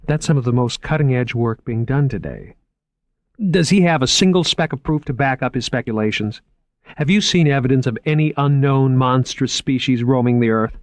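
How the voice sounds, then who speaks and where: A sharp-witted young woman researcher with a cool, pointed, restrained delivery, read by a male narrator. The sound is dry and close. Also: mid-range voice, expressive, moderate pace, no echo, pitched above the performer's own voice, close to an everyday voice.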